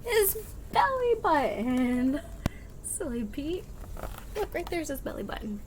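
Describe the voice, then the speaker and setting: A woman reading a picture book aloud to young children as a teacher, expressive and sing-song, pausing to explain in simple words. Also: sits high, noisy background. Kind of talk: animated children's read-aloud with singing and counting.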